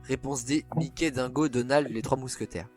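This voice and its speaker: Male quiz host, mid-pitched, casual and digressive, self-deprecating, with music in the mix. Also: moderate pace, darkish tone. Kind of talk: casual, chatty quiz hosting.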